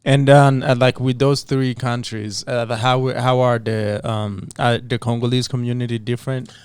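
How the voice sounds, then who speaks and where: Brief: male host, smooth clear tone, dry close sound, halting curious questions. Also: mid-range voice, flat delivery, darkish tone, dry recording. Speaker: podcast host. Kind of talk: interview questions.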